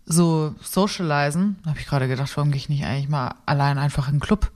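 A woman's voice: low-pitched, fairly even intonation, quick pace, a little mellow. A woman co-hosting a podcast, enthusiastic and playful in casual chat. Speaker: podcast co-host.

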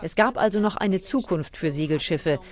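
A woman with a slightly low voice reads a scripted translation. She works as the voice-over in a radio report. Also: lively intonation, moderate pace.

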